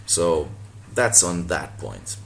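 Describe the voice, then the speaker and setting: A man from Malta, a native Maltese speaker, with a deep voice, talking casually and sardonically in a response video, laughing and swearing as he goes. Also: sing-song, slow, gravelly, a little hiss or hum. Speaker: boxing channel vlogger.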